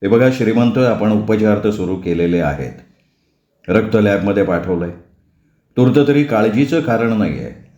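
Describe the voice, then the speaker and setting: A calm middle-aged village doctor speaks in a low, steady voice, as a man puts him on. He soothes and reassures. A roomy echo surrounds him. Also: normal speed, close to an everyday voice. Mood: reassuring.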